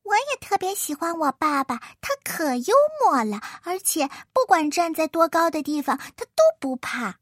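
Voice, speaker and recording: A woman's voice: high voice, big pitch swings, normal speed, hardly any pauses, a bit bright, dry recording, clean studio sound, voice pushed up. A woman voicing a perky, admiring little mouse in a children's story, in an eager, childlike manner. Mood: eager, proud.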